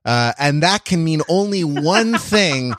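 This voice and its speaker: A man's voice: on the high side, lively intonation, takes it slowly, fairly bright tone, no echo. A man hosting a casual film discussion podcast, speaking in a wry way with mock-formal asides. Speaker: podcast host.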